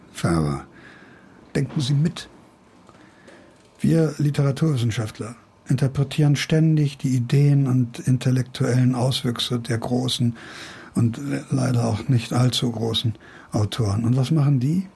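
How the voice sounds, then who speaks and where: An actor playing an ailing, sardonic older literature professor in a radio drama, condescending and needling, with a gravelly, husky voice. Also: male voice, mid-range voice, unhurried, mellow, exaggerated voice. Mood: weary, philosophical.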